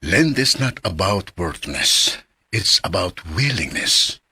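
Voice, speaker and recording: An actor playing a kindly, encouraging parish priest in a radio drama. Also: male voice, deep voice, very animated, takes it slowly, heavily acted. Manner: gentle, encouraging, mixes in English.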